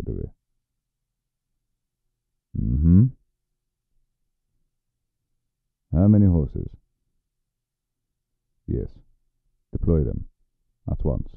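A man voicing an aloof, menacing vampire lord in an ASMR roleplay, speaking formally and deliberately in a brooding, husky, gravelly voice. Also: very deep voice, warm tone, exaggerated voice. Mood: curt, commanding.

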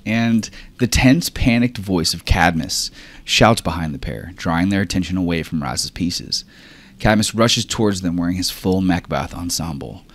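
Deep-voiced man narrating a roleplay game. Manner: descriptive, measured narration. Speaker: game master.

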